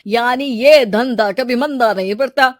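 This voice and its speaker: Woman voicing high-pitched, persuasive restaurant fellow. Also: a little slow, no room sound, clean studio sound, lightly acted. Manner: chatty, persuasive. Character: friendly, persuasive young male restaurant owner.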